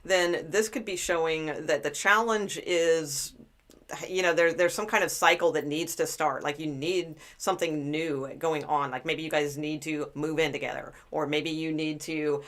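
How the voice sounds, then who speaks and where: A woman with a low speaking voice giving a chatty, rambling tarot reading to live viewers, with lots of fillers and restarts. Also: fairly even intonation, normal speed, a bit bright. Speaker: tarot reader.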